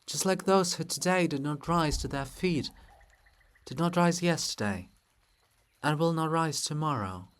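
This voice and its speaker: A man's voice: a little high, expressive, clear, resonant tone. Man acting a solemn, proud, grieving princess. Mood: grieving, tender. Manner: solemn, measured, formal. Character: Princess Olha, stern, grieving, proud monument of a female ruler.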